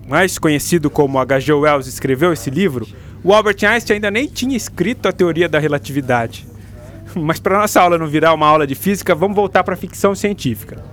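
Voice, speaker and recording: An actor playing a patient, enthusiastic school teacher with a Brazilian accent, lecturing in a radio drama. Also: male voice, on the high side, a bit bright, slight background noise. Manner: didactic, explanatory.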